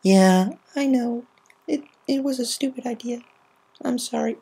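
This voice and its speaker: A woman's voice: on the high side, measured pace, creaky, dark tone, breathy edge, voice pushed up, heavily acted. A woman voicing a shy, nervous young Pegasus filly who stammers, hesitates and sounds apologetic. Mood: sad, apologetic.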